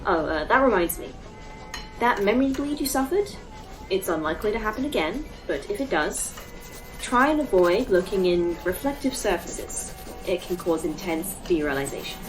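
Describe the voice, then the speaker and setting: An actress playing a polite, proud artificial intelligence tour guide in a sci-fi audio drama, speaking formally and precisely. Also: female voice, mid-range voice, animated, normal speed, soft background music, close to an everyday voice, low-quality recording. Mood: instructive. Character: polite, proud female A.I. tour guide in lifelike human form. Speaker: actor in a sci-fi horror fiction podcast.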